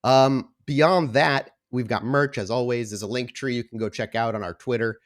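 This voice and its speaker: A man's voice: medium pitch, moderate pace. A man speaking as the host and storyteller of a tabletop roleplay podcast. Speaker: host and game storyteller of an actual play podcast. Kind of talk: casual announcements, then slow scene-setting narration.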